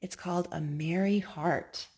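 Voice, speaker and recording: A woman reading a picture book aloud to a young child, gently asking him questions between pages. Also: lowish voice, fairly even intonation, measured pace, slightly rough. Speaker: storyteller.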